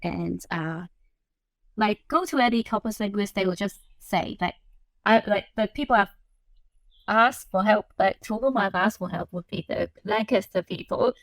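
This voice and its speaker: A woman from Hong Kong talks as a podcast guest, thinking aloud and filling pauses with "like" and "um." Her recording is dry and close. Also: mid-range voice, no echo, rough recording. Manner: reflective, frequent "like" and "um" fillers. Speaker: assistant professor, podcast guest. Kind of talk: conversational interview answers.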